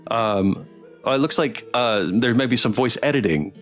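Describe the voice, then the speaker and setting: Lively-pitched man hosting a game stream. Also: faint music behind. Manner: halting, appreciative. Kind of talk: casual live interview chat.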